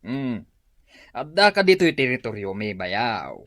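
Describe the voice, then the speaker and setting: An actor plays a teasing, swaggering Ilocano villager in a radio comedy. His medium male voice swings up and down in a lively way, recorded close and dry. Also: medium pitch, a little slow, no room sound. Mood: boasting, goading. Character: teasing, swaggering village brother-in-law who urges drinking.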